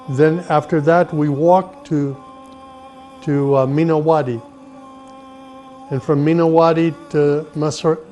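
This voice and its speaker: A middle-aged man from the United States, earnestly telling his own life story at an unhurried pace, with pauses and restarts. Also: on the high side. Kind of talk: personal testimony, reflective storytelling. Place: Saudi Arabia. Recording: soft music behind the voice.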